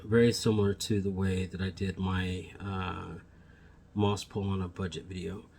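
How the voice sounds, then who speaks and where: A man with a fairly low voice talks through a home plant vlog, rambling and backtracking mid-sentence in a friendly way. He sounds a little sniffly, picked up on a phone camera mic indoors with faint background noise. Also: little pitch movement, moderate pace, gravelly, a little mellow. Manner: rambling, self-correcting, friendly address to viewers. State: sniffly from allergies. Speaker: plant shop co-owner and vlogger. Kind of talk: casual how-to vlog.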